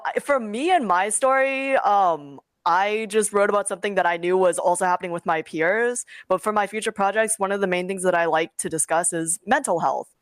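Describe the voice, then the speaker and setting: A woman's voice: on the low side, dry recording. A young adult woman giving advice in a casual panel talk, rambling informally and emphatically. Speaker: guest webcomic creator.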